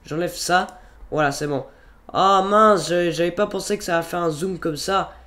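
A man's voice: sits high, moderate pace, pushed and bright. A young man with a cold, narrating a casual screen-recorded video-editing tutorial in a rambling way, with many fillers and restarts. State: has a cold. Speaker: tutorial youtuber. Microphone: computer microphone.

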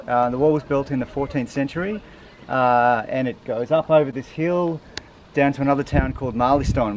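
A man's voice: mid-range voice, poor audio. A man in his forties filming himself on a sailboat at sea, chatting casually with a lot of ums and uhs. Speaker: sailing vlogger. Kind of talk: casual vlog to camera. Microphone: camera held at arm's length. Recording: loud wind and engine noise.

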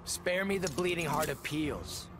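Cocky game villain: higher male pitch, sarcastic, swinging intonation, loud music. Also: animated, a little slow, noisy background. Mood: sneering. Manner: sarcastic, taunting. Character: sneering, cocky cold-gun criminal in a parka. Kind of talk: video game voice acting.

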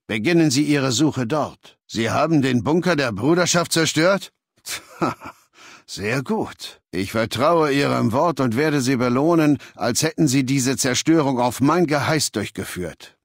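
An actor playing a commanding, arrogant warlord in a video game, speaking in a measured, authoritative and condescending way. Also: male voice, mid-range voice, no echo, clean studio sound. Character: commanding, arrogant male warlord, cold and imperious, ailing. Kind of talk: acted video game dialogue.

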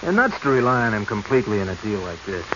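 Shifty treasure hunter, bossy and nervy, hissy muffled old radio drama. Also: male voice, lowish voice, sing-song, fast talker. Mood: urging. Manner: evasive, stammering when cornered, bossy. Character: scheming, nervous male treasure hunter hiding guilt. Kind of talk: 1940s radio acting.

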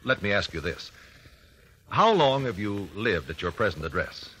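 A bright, insistent American trial lawyer. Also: male voice, on the low side, big pitch swings, normal speed, slight background noise. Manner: precise, formal cross-examining. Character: probing male trial lawyer, confident, methodical, insistent. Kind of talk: old-time radio courtroom drama acting. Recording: raspy vintage 1950s radio recording.

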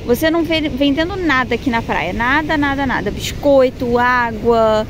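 A woman's voice: high-pitched, moderate pace. Chatty Brazilian woman vlogging outdoors. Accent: Brazilian Portuguese. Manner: chatty, repeats words for emphasis. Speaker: travel vlogger. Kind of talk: casual travel vlog. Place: outdoors by a seaside town, windy. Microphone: phone camera held at arm's length. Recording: outdoor phone audio with wind and traffic noise.

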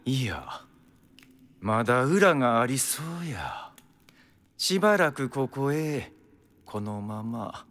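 A composed exorcist official in a radio drama. The Kyoto accent is plain, the speech very slow and courteous, with a reserved air and a mid-range voice. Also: medium pitch, sing-song, a bit bright. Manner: polite, unhurried, reserved.

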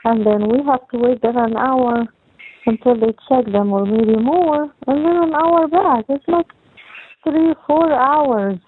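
A woman's voice: sits high, unhurried. Rambling young woman on tinny phone. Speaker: caller talking with partner. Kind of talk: casual phone chat. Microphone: telephone call. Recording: tinny phone line with faint noise.

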